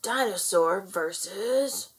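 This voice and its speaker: A woman narrating a picture book aloud for children in an expressive, performed style. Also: medium pitch, takes it slowly. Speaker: storybook reader.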